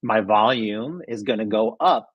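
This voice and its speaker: A fairly low-voiced man explains training in a loose, conversational way, checking in with "right?" as he goes. His voice is a bit husky, recorded dry and close with no room sound. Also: animated, unhurried, dry recording, lo-fi sound. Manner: casual, explains with many "right?" tags. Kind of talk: casual coaching chat.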